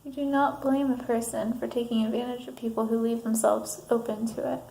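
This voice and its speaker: Female ASMR counselor role, higher-pitched yet mellow, unhurried, soft-spoken delivery. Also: little pitch movement, creaky. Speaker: ASMR performer roleplaying a counselor. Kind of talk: soft-spoken ASMR roleplay, reading assessment statements. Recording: roomy sound, faint background noise.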